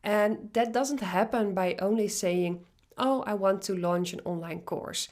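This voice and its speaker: A woman's voice: medium pitch, unhurried, darkish tone. A woman from the Netherlands with a Dutch accent, a business coach introducing herself on her podcast in an earnest, determined way. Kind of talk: solo podcast monologue.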